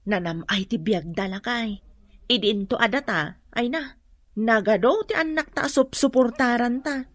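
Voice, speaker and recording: An actress playing a nagging, fretful wife from a poor household in a radio drama, with an Ilocano accent. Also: female voice, fairly high pitch, unhurried, dry recording. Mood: wistful, complaining.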